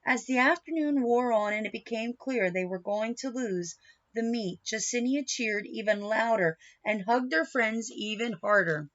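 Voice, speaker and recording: Female storyteller for children's read-aloud: fairly high voice, very unhurried, dramatic and engaging, slightly dull recording. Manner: animated, dramatic, engaging listeners with questions. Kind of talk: expressive picture-book read-aloud with asides and questions to young listeners.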